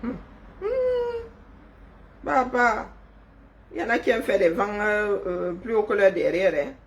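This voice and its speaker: A woman's voice: medium pitch, very animated, a little slow. A woman giving mocking, scolding commentary straight into her phone or webcam on a livestream. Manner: sarcastic, scolding, teasing. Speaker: online commentator. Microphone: webcam or phone on a screen.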